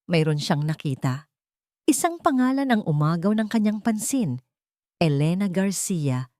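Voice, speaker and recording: A woman narrates a dramatic love story in a fairly low voice. The recording is dry and close, with no room around her scripted storytelling. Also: darkish tone, no room sound.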